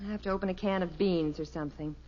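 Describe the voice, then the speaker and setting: A woman's voice: on the low side, steady tone, fast talker, mellow, lightly acted. A woman playing a gracious but harried middle-aged American mother in 1950s radio sitcom style, on a muffled, hissy recording. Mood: fretful.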